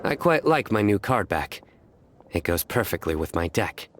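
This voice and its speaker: An actor playing a cool, composed, earnest young card player in a video game. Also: male voice, lowish voice, sing-song, moderate pace, noisy background. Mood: composed, confident. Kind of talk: video game character dialogue.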